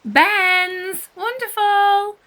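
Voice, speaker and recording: A woman voicing an eager, persistent little girl in a storybook, calling out brightly. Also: very high-pitched, fairly even intonation, drawn-out words, pitched above the performer's own voice, full character voice. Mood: excited, calling.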